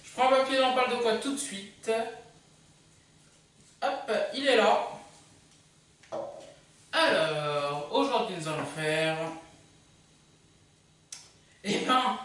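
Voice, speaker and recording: A video host giving a casual, unscripted countdown in a hesitant, halting way, often correcting themselves. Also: medium pitch, fairly bright tone. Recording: very echoey room, far from mic.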